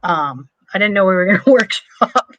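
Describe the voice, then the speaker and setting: A woman's voice: medium pitch, lively intonation, a bit bright, no room sound, muffled recording. A woman who is a comedian and podcast co-host, chatting casually and starting with hesitant "um"s. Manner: hesitant, fills pauses with "um". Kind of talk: casual chat.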